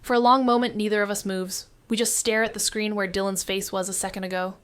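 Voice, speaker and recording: A woman's voice: medium pitch, level tone, fast, a little hiss or hum, close to an everyday voice. An actress playing a wary, sardonic young woman detective who narrates a noir audio drama with dry sarcasm. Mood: brooding, tense. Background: background music.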